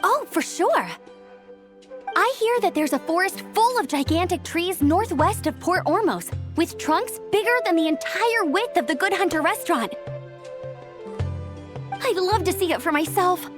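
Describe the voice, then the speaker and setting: A woman's voice: high-pitched, sing-song, moderate pace, music in the background. A woman voicing an upbeat, eager young scout with a friendly manner in a video game cutscene. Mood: excited.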